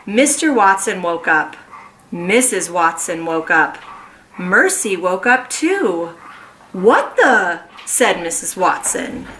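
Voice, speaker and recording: A kindergarten teacher reads a picture book aloud slowly and expressively, her pitch swinging widely. Her voice is bright and clear. A faint hum sits behind her. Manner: animated, slow and expressive storytelling. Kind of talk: reading a children's book aloud. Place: at home.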